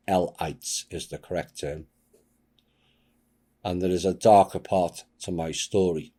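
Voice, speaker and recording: A man hosting his channel, reading a submitted account aloud with a grave, measured delivery. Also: low-pitched, mellow. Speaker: channel host.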